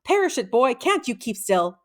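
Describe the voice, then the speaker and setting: A woman reading a children's book and voicing a gruff, impatient old male wizard who speaks curtly. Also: fairly high pitch, big pitch swings, moderate pace, pitched above the performer's own voice. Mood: irritated. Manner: curt, commanding. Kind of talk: children's book read-aloud character voice.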